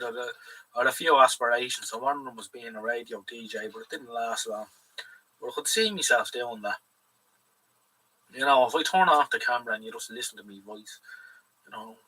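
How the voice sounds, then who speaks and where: A man's voice: medium pitch, pushed and bright, breathy edge. An Irish man hosting a live stream, chatting in a rambling, casual way and swearing freely. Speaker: livestream host. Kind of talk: casual livestream chat. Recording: voice a bit far from the mic.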